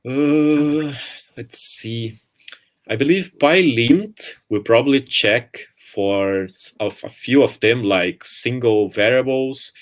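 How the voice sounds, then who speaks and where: Low-voiced man giving a talk. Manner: relaxed, hesitant, many "uh"s. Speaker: conference speaker. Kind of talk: presentation and Q&A answers.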